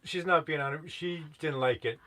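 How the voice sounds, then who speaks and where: A man's voice: on the high side, normal speed, pushed and bright. A middle-aged man from Canada co-hosting a casual live stream from a small camper, easygoing and reading viewer comments. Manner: easygoing, laughs a lot, reads out chat. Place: small insulated camper interior. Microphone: fixed camera framing two people on a bench.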